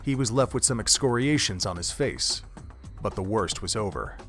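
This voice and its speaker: A man's voice: lowish voice, expressive, moderate pace, a bit bright. Man narrating dramatically over music. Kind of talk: scripted audio drama narration.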